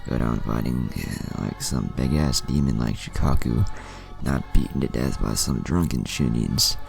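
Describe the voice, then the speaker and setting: A man voicing a dying thirteen-year-old ninja boy, softly brave and resigned, speaking weakly and haltingly in an anime fan story. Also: rumbling low, lively intonation, creaky, music in the background, heavily acted. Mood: wistful, defiant. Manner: soft, halting, weak.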